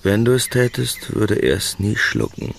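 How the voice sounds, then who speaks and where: Terse wounded working-class lover in a radio play, deep n gravelly, some background noise. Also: male voice, big pitch swings, normal speed. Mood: bitter. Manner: terse, guarded. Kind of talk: radio drama acting.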